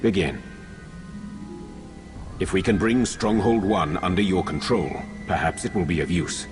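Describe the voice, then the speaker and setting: A loyal dark-side commander in a game cutscene. The very low voice is grave, clipped and formal, ringing in a large reverberant space. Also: male voice, unhurried, music in the background. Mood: firm, formal. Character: grave, loyal male Sith strike team commander. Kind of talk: video game cutscene acting. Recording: long hall reverb.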